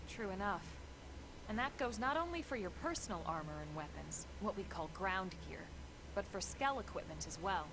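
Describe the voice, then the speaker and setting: Woman playing a composed officer over clear background noise. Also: mid-range voice, animated, moderate pace, natural voice. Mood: calm instructive. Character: composed, sensible female military officer, patient and guiding. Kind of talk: video game cutscene voice acting.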